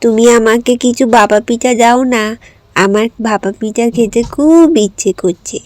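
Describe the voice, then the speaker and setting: A woman's voice: on the high side, normal speed, no room sound, lightly acted. A woman voicing a poor, eager little village girl in a cartoon, with a wistful, pleading, childlike manner. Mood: coaxing, pleading.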